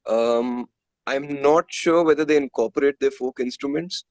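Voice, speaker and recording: A man's voice: mid-range voice, normal speed, no room sound. Indian man chatting casually in an interview. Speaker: musician being interviewed.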